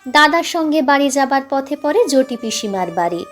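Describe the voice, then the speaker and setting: A woman's voice: high voice, very animated, normal speed. A woman reading a scripted literary story as a dramatic narrator. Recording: music playing behind the voice.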